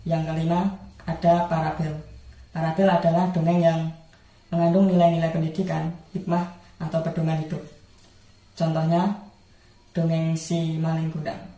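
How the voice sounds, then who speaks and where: A young man, a student presenter, explaining material in halting short phrases. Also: a little high, completely flat delivery, dark tone. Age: young adult. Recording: very echoey room, faint music.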